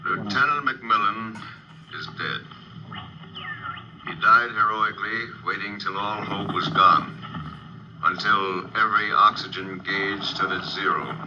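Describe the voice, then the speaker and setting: An actor playing a solemn general with measured military delivery, speaking by radio from a spacecraft in a 1950s drama. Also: male voice, fairly low pitch. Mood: grave, solemn. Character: solemn male general reporting from a rescue rocket. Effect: radio transmission from a pressure suit. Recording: muffled, noisy vintage radio transmission.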